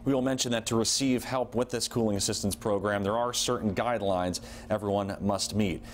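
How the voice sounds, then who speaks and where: A man's voice: lowish voice, steady tone, a little fast. An American man giving a live field report for local television news. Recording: faint location ambience noise.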